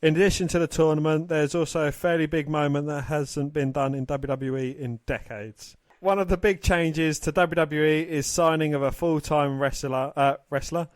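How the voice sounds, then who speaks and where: A man co-hosting a casual sports podcast, talking in a halting way and stumbling over his words. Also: a little high, fairly even intonation, dry recording. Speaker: podcast co-host.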